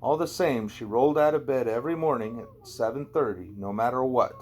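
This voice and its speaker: A man's voice: medium pitch, brisk, darkish tone, a little hiss or hum. Man reading a novel aloud, conversationally. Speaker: amateur audiobook reader. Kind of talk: scripted narration of a first-person novel, read aloud.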